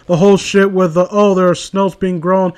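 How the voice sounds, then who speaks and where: High-pitched man ranting casually, rambling and profane. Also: level tone, normal speed, clean tone. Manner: rambling, profane, exasperated opinionated talk.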